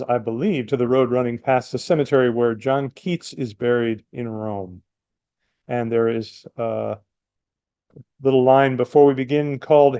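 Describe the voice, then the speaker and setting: A man presenting and reading sonnets aloud in a measured, plain-spoken way. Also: medium pitch, mellow, no room sound, dull audio. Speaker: poetry reader.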